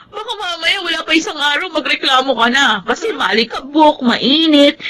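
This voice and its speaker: High voiced young filipina bestie, teasing n practical, bit of noise behind. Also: female voice, normal speed, bright, forceful tone. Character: down-to-earth young woman, teasing best friend. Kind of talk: casual drama dialogue.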